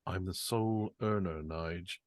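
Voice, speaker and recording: A man's voice: deep voice, unhurried. Shaken woman ER doctor, read by a man, bitter and volatile, read-through. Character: shaken woman emergency doctor, sole earner.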